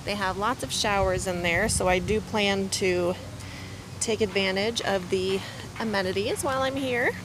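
A woman's voice: medium pitch, normal speed. A woman vlogging a casual campground tour outdoors, chatty and enthusiastic with many fillers, speaking into a handheld camera. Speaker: van life vlogger. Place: outdoors at a windy lakeside campground. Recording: loud wind noise outdoors.

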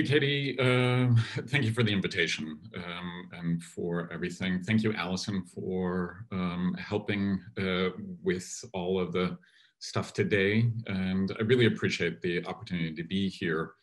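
A man in his fifties giving an academic talk on a video call, halting with repeated words and fillers. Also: sits low. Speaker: guest lecturer. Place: home study on a video call. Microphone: laptop mic on a video call.

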